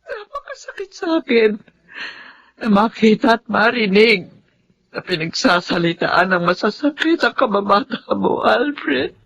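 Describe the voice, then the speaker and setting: Anguished, protective Filipina elder. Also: female voice, mid-range voice, very animated, unhurried, creaky, fairly bright tone, heavily acted. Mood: sorrowful. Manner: emotional, pleading. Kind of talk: Filipino radio drama acting.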